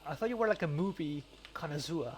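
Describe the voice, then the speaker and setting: A man's voice: fairly high pitch, expressive, quick pace, a crowd in the background. A man casually chatting as he plays a game on a podcast, joking and rambling with repeated words. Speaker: podcast co-host and game player. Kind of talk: casual gaming chat while playing. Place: remote voice call.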